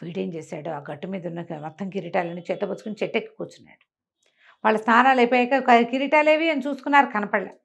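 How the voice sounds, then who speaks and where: A woman telling a folk tale in an animated, conversational way, acting out the characters' exclamations. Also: medium pitch, fast talker, creaky, muffled recording. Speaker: storyteller. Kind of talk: animated oral folk storytelling to a listener.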